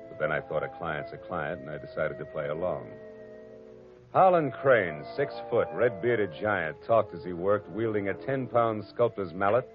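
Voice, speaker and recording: An actor playing a wry, tough private detective with dry wisecracks, in 1940s radio acting on a dull lo-fi old radio recording. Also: male voice, low voice, moderate pace, over music, lightly acted. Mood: narrating.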